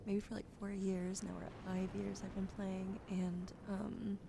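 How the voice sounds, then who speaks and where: A woman's voice: mid-range voice, level tone, unhurried, vocal fry, background noise. A young woman streamer with a wheezy throat, whispering in ASMR style, thanking viewers and swearing casually.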